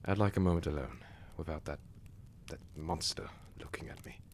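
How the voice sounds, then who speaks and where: A man's voice: very deep voice, normal speed. A man playing a guilt-ridden, fatalistic man hunted by a monster, speaking in a formal, theatrical audio drama manner. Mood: resigned, haunted. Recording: faint background noise.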